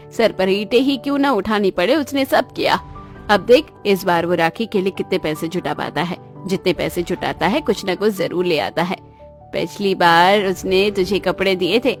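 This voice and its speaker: A woman voicing a weary, practical middle-aged mother of a poor family in a cartoon, measured and matter-of-fact. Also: mid-range voice, lightly acted. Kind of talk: cartoon moral-story dialogue in Hindi.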